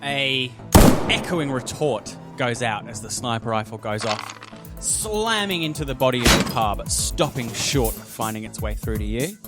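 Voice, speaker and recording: A man acts as game master for a tabletop game, with a very animated, mid-pitched voice. He sets each scene with dramatic flair. Music plays clearly behind him. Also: normal speed.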